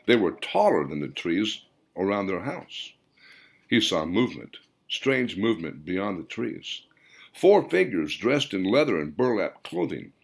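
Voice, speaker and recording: Male audiobook narrator, fiction. Fairly deep voice, very lively pitch movement, theatrical reading. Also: measured pace, vocal fry. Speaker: author reading own audiobook.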